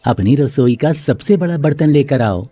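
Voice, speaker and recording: A man voicing a gentle, calm god in the form of a boy in a storybook tale. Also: mid-range voice, moderate pace, no echo, faint music behind, lightly acted. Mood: calm, instructing. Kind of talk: acted storybook dialogue.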